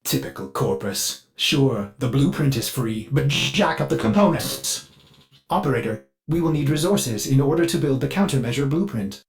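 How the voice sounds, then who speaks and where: An actor playing a fussy, eager-to-please artificial mind of a spaceship in a video game, with an overly polite, theatrical and halting delivery. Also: male voice, mid-range voice, lively intonation, gravelly. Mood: apologetic, eager. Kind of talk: video game character dialogue.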